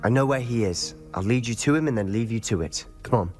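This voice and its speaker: An actor playing a determined young wizard student who speaks in a wry way. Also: male voice, mid-range voice, a little fast, over music, natural voice. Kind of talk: video game voice acting.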